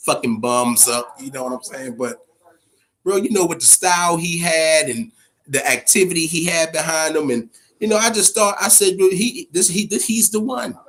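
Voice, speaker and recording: A man's voice: fairly high pitch, a bit bright. A man with an American accent hosting a casual boxing chat show, giving opinions in profane, slangy language and repeating phrases for emphasis.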